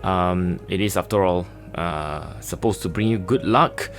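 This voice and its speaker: Man, from Malaysia, middle-aged, lowish voice, chatty art tutorial, halting with fillers, soft background music. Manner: casual, chatty, many filler words and pauses. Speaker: sketching and watercolor instructor. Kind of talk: relaxed tutorial narration.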